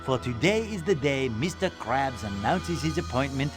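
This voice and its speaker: A man playing a grandiose, dryly amused storybook narrator for a cartoon game, florid and deliberate. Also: mid-range voice, sing-song, lightly acted. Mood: whimsical, grand. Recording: music playing behind the voice.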